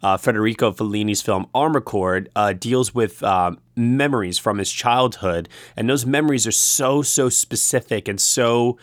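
A man's voice: fairly low pitch, normal speed, few pauses, no echo, studio quality. A man hosting a film review discussion, speaking in a wordy, emphatic way. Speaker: podcast host.

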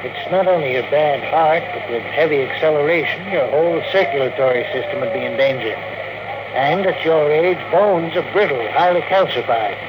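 An actor playing a matter-of-fact fairground doctor in a 1950s radio drama, blunt and informal. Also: male voice, on the high side, normal speed, lightly acted. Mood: grave. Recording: noisy old radio broadcast recording.

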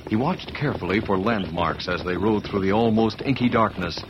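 A man's voice: fairly low pitch, fairly quick, noisy background. A man narrating a western adventure serial in a dramatic, scripted old-time radio style. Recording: muffled vintage radio recording with music. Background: music.

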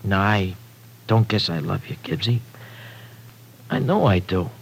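A man's voice: sits low, vocal fry. Bashful American tough guy, old noisy radio. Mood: earnest confession. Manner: halting, slangy, streetwise. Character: lovestruck young tough guy, rough, bashful, slangy. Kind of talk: old-time American radio drama acting. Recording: noisy vintage radio recording.

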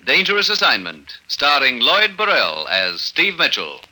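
A man's voice: medium pitch, wildly swooping pitch, a little slow, heavy background noise. A man announcing a vintage radio drama in a grand, declamatory style. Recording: old radio recording under loud music.

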